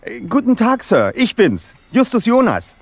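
A man's voice: very high voice, wildly swooping pitch, a little slow, heavily acted. An actor playing a clever, self-assured boy detective in an audio drama, speaking eloquently and precisely with a slightly pompous manner. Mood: polite, formal. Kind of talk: German audio drama acting.

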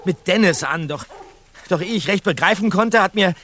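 An actor playing an earnest, observant teenage boy detective in a radio play, recounting details haltingly with repeated words. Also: high-pitched, expressive, noisy background. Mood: shaken. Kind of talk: German radio play acting.

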